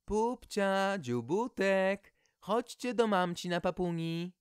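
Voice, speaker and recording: A man's voice: high voice, lively intonation, slow, clean tone, no echo, clean studio sound, voice pushed up. A man voicing a doting, hot-tempered young maiden in a storybook tale. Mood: cooing. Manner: cooing, wailing and scolding. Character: doting, shrill young maiden raging over her dragons.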